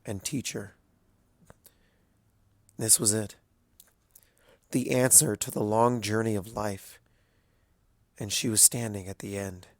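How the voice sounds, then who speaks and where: A man reading a dramatic story as narrator in a solemn, hushed, deliberate way. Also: medium pitch, dry recording, clean studio sound. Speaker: fan fiction narrator.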